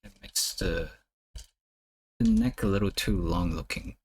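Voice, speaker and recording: Male streamer, slightly low-pitched, relaxed and hesitant, restarts phrases while critiquing art. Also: slightly rough, no room sound. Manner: hesitant, halting, repeats words while thinking. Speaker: artist streamer giving art feedback. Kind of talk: casual live-stream chat.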